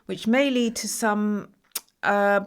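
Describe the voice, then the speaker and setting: A woman's voice: mid-range voice, steady tone, takes it slowly, a little hiss or hum, natural voice. A woman playing an anxious but wry geology professor in an amateur audio play, dry and a little formal. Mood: nervous, formal.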